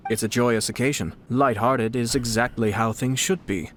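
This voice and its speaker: Calculating noble clan head voiced by a man, smooth and polite, faint hiss behind. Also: medium pitch, fairly even intonation, lightly acted. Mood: composed. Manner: smooth, courteous, measured. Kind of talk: video game voice acting.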